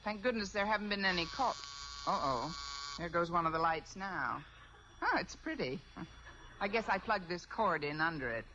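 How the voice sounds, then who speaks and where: An actress playing a wry, quick-witted schoolteacher with an American accent and a dry, sarcastic manner in a radio sitcom. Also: female voice, lowish voice, expressive, sharp tone. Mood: flustered, wry. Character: wry, quick-witted female high school English teacher. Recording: dull old radio recording, clear noise.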